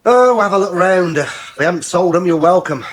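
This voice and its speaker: An actor playing a hard-up, proud farmer, blunt and plain-spoken in a radio drama. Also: male voice, high voice, lively intonation, normal speed. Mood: brisk, practical. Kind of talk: naturalistic radio drama acting. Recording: faintly noisy 1980s radio drama.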